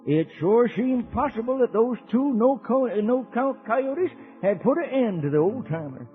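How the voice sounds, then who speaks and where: An American man playing a folksy old cowboy uncle, telling a radio serial to children in a rustic drawl with a husky voice. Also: very high-pitched, animated, normal speed, faint music behind. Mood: folksy, friendly. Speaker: narrator. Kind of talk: 1950s radio serial storytelling. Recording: dull old radio recording.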